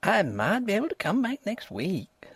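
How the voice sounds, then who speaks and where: A man reading an audiobook, voicing a placid, superstitious old peasant housekeeper in rustic dialect, with a husky voice. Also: high-pitched, wildly swooping pitch, moderate pace, dry recording, studio quality, voice pushed up, full character voice. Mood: evasive. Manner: rustic dialect grammar, grave and ominous. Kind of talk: audiobook character voice.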